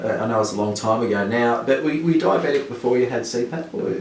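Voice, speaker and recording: A man's voice: mid-range voice, quick pace, a crowd in the background. A man hosting a casual interview, asking questions in a friendly, conversational way. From Australia. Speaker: interviewer, sleep clinic host. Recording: echoey room, mic at a distance, faint background noise.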